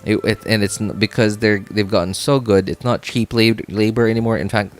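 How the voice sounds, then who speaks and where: Male game streamer, lowish voice, informal and rambling, thinks out loud with repeated phrases, faint music underneath. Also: fairly even intonation, fairly quick. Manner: rambling, repeats phrases, often checks with "right?". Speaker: game livestreamer chatting with viewers. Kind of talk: casual livestream chat, thinking aloud.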